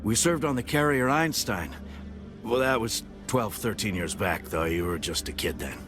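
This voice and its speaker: An actor playing a middle-aged retired soldier, down on his luck, who begs in a halting, stammering way. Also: lowish voice, over music, lightly acted. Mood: wheedling.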